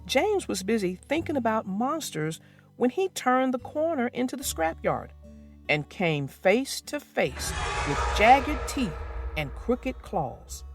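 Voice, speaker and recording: A woman narrating a children's book aloud in a slow, expressive storytelling manner. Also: on the high side, faint music behind.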